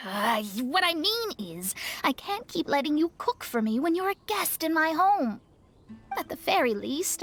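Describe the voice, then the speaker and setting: A woman's voice: sits high, a bit bright, heavily acted. A woman voicing a proud, refined young astrologer in a video game, with precise and formal speech. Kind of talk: game character acting.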